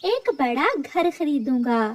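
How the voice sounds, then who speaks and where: A woman puts on a father bird in a cartoon story. The voice drops noticeably lower than the storytelling one. He is loving and hopeful. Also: animated, slow, a little hiss or hum. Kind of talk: children's cartoon dubbing.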